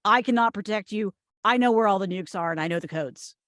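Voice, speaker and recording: A woman in a comedic script read, playing a blunt, pushy, oddball friend with swaggering confidence and emphatic, deadpan delivery. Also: mid-range voice, fairly quick, no room sound, studio quality, natural voice. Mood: earnest boasting. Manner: emphatic, forceful, deadpan boasting. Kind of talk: screenplay table read, comedic acting.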